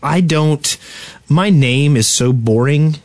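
A man's voice: on the high side, takes it slowly, darkish tone, no room sound. A man co-hosting a gaming podcast with casual, self-deprecating banter. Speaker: podcast co-host.

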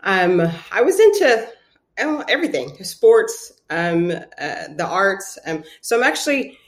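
A woman from Ghana answers interview questions thoughtfully, often pausing with an um. Her voice has a roomy, echoey sound, as if recorded remotely in a bare room. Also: medium pitch, big pitch swings. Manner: thoughtful, many ums and restarts. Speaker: guest, sports psychologist.

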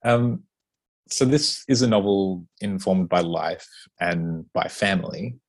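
A man hosting a casual interview, chatty and relaxed, with lots of fillers. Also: low voice, slow pace, creaky. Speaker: podcast host interviewing an author.

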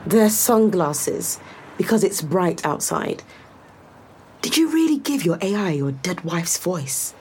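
A woman's voice: on the low side, sing-song, slow, a little mellow, slight background noise. A woman playing a poised, guarded corporate executive in an audio drama, speaking formally and with control. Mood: cool, dry. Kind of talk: scripted audio drama acting.

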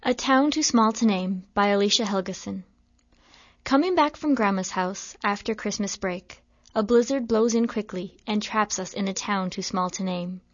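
A woman reads a poem in a calm, plain voice. The recording is dry and a bit dull. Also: mid-range voice, moderate pace, no room sound. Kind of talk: reading a poem aloud.

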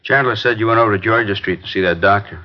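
An actor playing an easygoing narcotics police sergeant in a 1950s radio drama, talking casually with muttered asides. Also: male voice, fairly low pitch, quick pace, lightly acted. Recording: dull, lo-fi 1950s radio broadcast.

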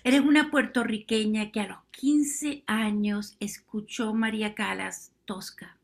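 A woman's voice: mid-range voice, steady tone, unhurried. A woman hosting a podcast who introduces her guest with enthusiasm and asks open questions. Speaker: podcast host, interviewer. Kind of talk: podcast interview.

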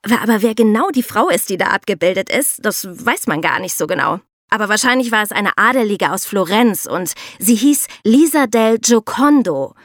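Female co-host, children's educational podcast. Conversational explainer, medium pitch, dry close sound. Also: lively intonation, moderate pace, no room sound.

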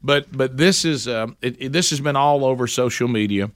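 A man hosting a talk show, giving casual political commentary in a halting way, with restarts and fillers. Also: medium pitch, a bit bright, dry recording, clean studio sound.

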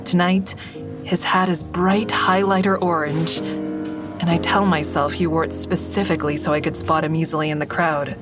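A woman's voice: deep voice, flat delivery, moderate pace, lightly acted. An actress playing a wry, grieving mother who does stand-up, telling her story candidly in an audio drama. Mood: reflective. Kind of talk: first-person audio drama narration. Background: music.

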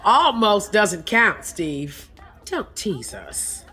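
An actress playing a seasoned Broadway producer in an audio comedy, dry, knowing and conspiratorial, with a husky voice. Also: female voice, mid-range voice, sing-song, pushed and bright, full character voice. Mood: arch, amused. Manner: knowing, arch, deliberate.

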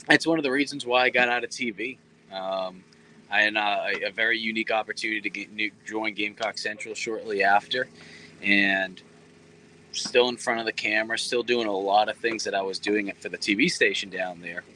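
A man in his thirties, a sports reporter, talking earnestly in a relaxed interview with many fillers. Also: fairly low pitch, moderate pace, a little hiss or hum, rough recording. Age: 30s. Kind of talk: casual interview talk.

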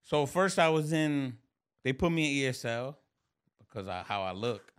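A man's voice: medium pitch, animated, moderate pace, smooth. A man co-hosting a podcast in casual chat, blunt and profane, tagging "bro" onto his sentences. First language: English. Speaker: podcast co-host.

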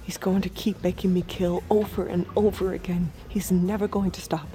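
Actress doing a lowish voiced android woman, earnest n persuasive, seductive killer, audio drama w music under it. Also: flat delivery, moderate pace, warm tone, natural voice. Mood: earnest pleading. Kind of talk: intimate audio drama acting.

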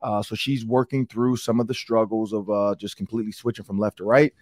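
A middle-aged man with an Upper Midwest American accent hosts a sports talk podcast, his voice hoarse and raspy from yelling, and he tells stories in a rambling, excitable way. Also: lowish voice, brisk, no room sound, studio quality. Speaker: sports talk show host. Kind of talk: casual podcast chat.

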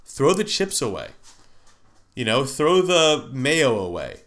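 A man's voice: mid-range voice, wildly swooping pitch, slow pace, fairly bright tone. A man hosting a podcast, talking casually with a nasal, congested voice from a cold, rambling with many fillers and restarts. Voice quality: nasal, stuffy from a sinus cold. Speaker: podcast host. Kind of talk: casual solo podcast monologue.

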